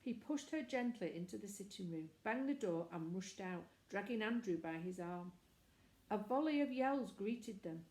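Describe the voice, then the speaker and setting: Female storybook reader, expressive scripted narration, middle pitch, recorded in an echoey room. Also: normal speed.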